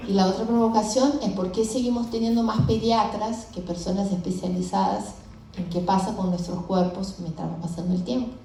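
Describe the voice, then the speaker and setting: A woman in her fifties, a startup founder, telling her story in a lively, conversational way at a conference. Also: medium pitch, fairly quick, a little hiss or hum. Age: 50s. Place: large event hall with audience. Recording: echoey hall from a distant mic.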